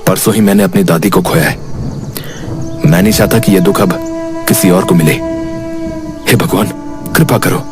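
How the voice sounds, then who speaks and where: An actor playing an earnest, anxious thin young villager in a cartoon moral story, exclaiming and muttering to himself imploringly. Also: male voice, deep voice, normal speed, pauses often, natural voice. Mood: pleading. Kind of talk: animated Hindi moral story voice acting. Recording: loud music behind the voice. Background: music.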